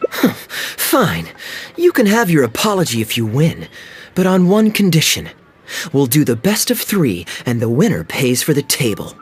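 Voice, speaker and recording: A man's voice: fairly high pitch, wildly swooping pitch, normal speed, slightly rough, heavily acted. A sly, teasing young nobleman. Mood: indignant. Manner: teasing, sly, playfully commanding. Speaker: wealthy visitor at a tea house. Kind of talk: game cutscene voice acting.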